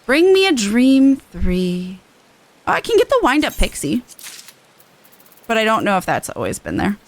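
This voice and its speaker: A woman's voice: fairly high pitch, huge pitch swings, measured pace. A woman game streamer giving casual, playful playthrough commentary and reading menus aloud.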